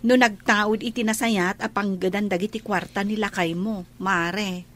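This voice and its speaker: A woman's voice: mid-range voice, steady tone, moderate pace, fairly bright tone, slight background noise, close to an everyday voice. A woman with a northern Philippine accent acting a pious, practical friend in a radio drama. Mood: sympathetic, advising.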